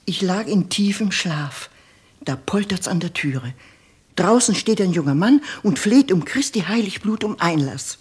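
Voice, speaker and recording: An actress playing an anxious but outspoken loyal maidservant in 1950s German radio drama, with dramatic, urgent delivery. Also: female voice, on the low side, expressive, a little slow, darkish tone, husky, heavily acted. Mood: agitated, urgent. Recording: 1950s radio studio recording.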